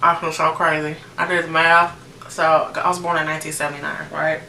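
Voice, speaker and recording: Low-voiced American woman, roomy distant recording. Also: flat delivery, a little fast, gravelly, pushed and bright. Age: late 30s. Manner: chatty, informal, addressing viewers directly. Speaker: beauty vlogger. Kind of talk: casual chatty makeup tutorial. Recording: roomy, distant mic with noticeable background noise.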